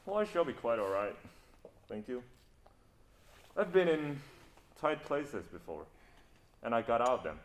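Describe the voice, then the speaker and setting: An actor playing a cool, mocking, self-assured adventurer captain in a stage play, with a sardonic, taunting manner. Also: male voice, mid-range voice, sing-song, measured pace, long pauses. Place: stage performance.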